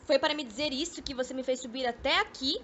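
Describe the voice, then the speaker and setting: Woman playing a high-voiced, scornful young Brazilian lady. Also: fast, fairly bright tone. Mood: annoyed. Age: young adult. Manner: mocking, exasperated. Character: elegant, scornful young woman mocking her former lover. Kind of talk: amateur radio drama acting. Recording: noisy amateur recording.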